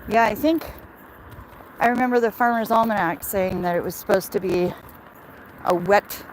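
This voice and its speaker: A woman's voice: medium pitch, moderate pace, a little hiss or hum, rough recording. An American woman chatting casually and in a rambling way with livestream viewers, answering comments as she walks outdoors. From Michigan, United States. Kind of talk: casual livestream chat while walking.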